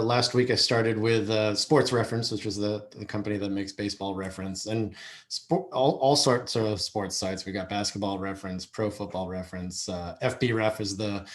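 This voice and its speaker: A man giving a casual, explanatory talk as a guest speaker on a video call. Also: lowish voice. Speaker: guest speaker, head of user experience.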